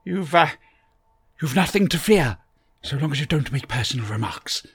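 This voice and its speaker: A man's voice: animated, moderate pace, slightly rough, heavily acted. A man voicing an eccentric, fussy old male time traveller who dithers and fusses. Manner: fussy, dithering, high and loud.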